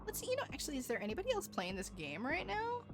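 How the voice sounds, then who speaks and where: A woman's voice: high-pitched, wildly swooping pitch, racing. Female game streamer, bubbly and rambling, casual live chat with music behind her. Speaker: livestreamer.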